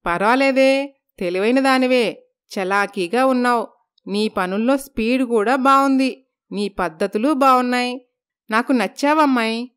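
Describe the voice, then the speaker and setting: A woman voicing a stern, commanding middle-aged mother-in-law who admonishes with both affection and threat in an animated story. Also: on the high side, measured pace, clear, resonant tone. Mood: approving.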